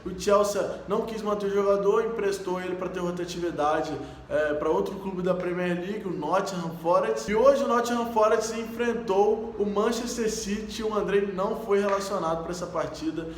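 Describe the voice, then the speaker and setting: A man's voice: high voice, fairly even intonation, normal speed, hardly any pauses, a little hiss or hum. A man with a Brazilian accent hosting a fan channel, giving a casual sports news roundup as an eager fan who calls the club "ours.". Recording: roomy echo.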